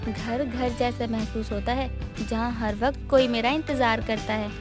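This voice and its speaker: A woman's voice: a little high, fairly even intonation, a little slow, natural voice. Lady doing a sweet caring village girl for a cartoon tale, music under it. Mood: tender, content. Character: gentle, caring young village daughter. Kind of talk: cartoon story dialogue.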